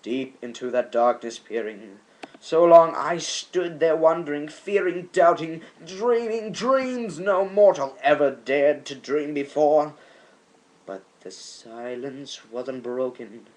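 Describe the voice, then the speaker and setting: Slow, theatrical man reading poetry. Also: a little high, low-quality recording. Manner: theatrical, swinging from hushed to loud; slow, drawn-out delivery. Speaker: amateur reader of a poem.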